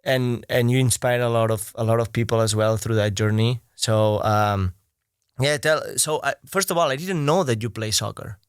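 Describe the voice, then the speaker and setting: A man's voice: medium pitch, moderate pace, clean tone, dry recording, studio quality. A man hosting a casual sit-down interview, easygoing and friendly, with many small fillers. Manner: easygoing, warm intro with many "uh" and "um". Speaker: interviewer host.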